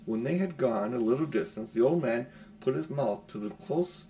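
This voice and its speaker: A man's voice: mid-range voice, lively intonation, moderate pace, dark tone, rough recording. A middle-aged man reading a children's storybook aloud as an audiobook narrator. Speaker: audiobook reader. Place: small furnished room at home, indoors.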